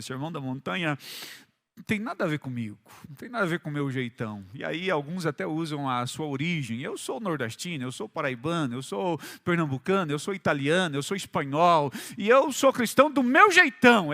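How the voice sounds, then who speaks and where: A Brazilian pastor preaching with a high, bright voice. He repeats his phrases for weight, sometimes rising to loud emphasis and then falling to a quiet, low delivery. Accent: Brazilian Portuguese. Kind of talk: sermon.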